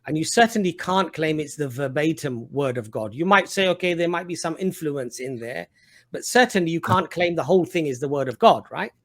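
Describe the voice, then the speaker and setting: A man's voice: on the high side, lively intonation, fairly quick, a bit bright. Persistent man summing up a debate. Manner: persistent, summarizing points firmly. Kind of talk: debate argument.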